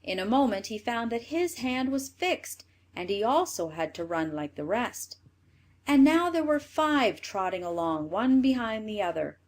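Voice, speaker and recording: A woman reading a scripted fairy tale as a storyteller, in an expressive manner. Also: mid-range voice.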